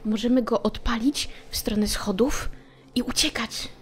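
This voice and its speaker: A woman's voice: a little high, moderate pace. A woman playing a tabletop roleplaying game, improvising actions and talk in character.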